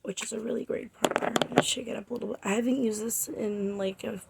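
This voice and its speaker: A woman in her twenties, a makeup artist hoarse from a cold, chatting casually and rambling to her viewers through a makeup tutorial. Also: fairly high pitch, fairly even intonation, quick pace, heavy background noise. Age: 20s. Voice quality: raspy. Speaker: makeup artist and beauty vlogger. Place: home, under a makeup light.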